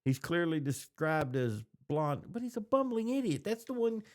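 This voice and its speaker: A man in a casual podcast chat, informal and opinionated, often halting as he searches for his words. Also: on the high side, very animated. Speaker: podcast co-host. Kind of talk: casual fan discussion.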